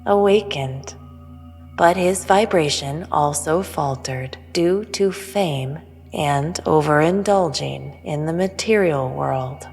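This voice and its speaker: An otherworldly female intelligence, played by an actress, pronounces cosmic truths with calm superiority. Her voice is low and slow, formal and measured, in long reverb over music. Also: creaky, exaggerated voice. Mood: solemn, knowing. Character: otherworldly all-knowing female entity, cryptic, calmly superior. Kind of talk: sci-fi audio drama.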